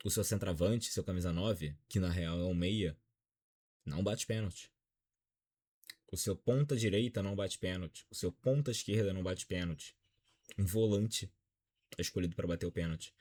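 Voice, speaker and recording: A man's voice: sits low, dark tone, breathy edge. A Brazilian man in his twenties with a Brazilian accent, giving a dejected, frank football opinion vlog. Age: 20s. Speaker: football commentator.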